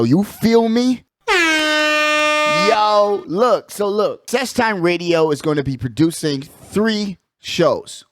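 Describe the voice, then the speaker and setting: Higher-pitched man hosting sports talk. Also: no room sound, clean studio sound. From United States. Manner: slangy, emphatic, repeats catchphrases. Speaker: sports talk show host. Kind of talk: casual hyped sports chat.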